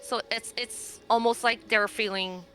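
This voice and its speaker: A woman's voice: a little high, steady tone, a little slow, sharp tone, soft background music. A woman chatting casually as a player in a tabletop roleplaying game.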